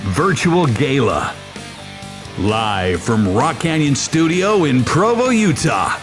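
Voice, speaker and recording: A man's voice: mid-range voice, big pitch swings. A man reading a scripted show introduction as a voice-over announcer. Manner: measured, announcing. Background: guitar music.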